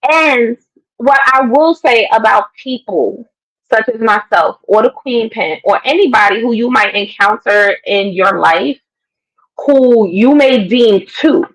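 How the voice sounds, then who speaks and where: Raspy-voiced woman, emphatic and expressive. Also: fairly high pitch, a little slow, low-quality recording. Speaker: podcast co-host. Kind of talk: casual chat.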